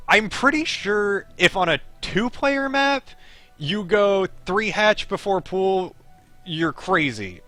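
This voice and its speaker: A man's voice: sits high, animated, slow pace, sharp tone, no room sound, soft background music. A man streaming a game, reading its narration aloud expressively and dropping into casual offhand commentary. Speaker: streamer playing a visual novel.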